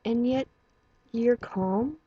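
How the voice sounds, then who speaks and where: Woman reading as an older stallion doctor, cold and protective, breathy dull tone. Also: a little high, warm tone, exaggerated voice. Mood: stern. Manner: measured, cool and stern. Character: older male pony doctor, taciturn and protective.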